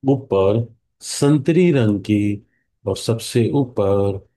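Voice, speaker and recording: A man with an Indian accent leads a guided meditation in a hushed, calming way, leaving long pauses between short phrases. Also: lowish voice.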